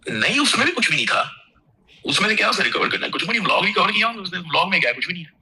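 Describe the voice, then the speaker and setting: A man's voice: high voice, very animated, rapid-fire, bright, forceful tone. A man chatting casually on a phone call, protesting in a nonchalant, repetitive way. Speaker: prank call recipient. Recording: noisy phone call played into studio.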